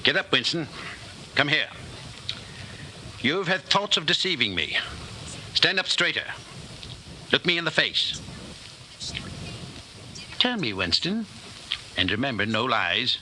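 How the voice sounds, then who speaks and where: An actor playing a cold, controlling party inquisitor in a 1940s radio drama, commanding, deliberate and menacingly calm. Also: male voice, fairly high pitch, heavily acted. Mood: stern, commanding. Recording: noisy old 1940s radio broadcast.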